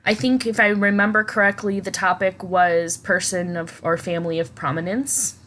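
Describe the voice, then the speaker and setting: A woman in her thirties with an Iowa accent, co-hosting a podcast in casual chat while hoarse and raspy from a cold. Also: mid-range voice, fairly even intonation, normal speed, fairly bright tone, slight background noise. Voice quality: husky, raspy. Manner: chatty, self-deprecating. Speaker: podcast co-host.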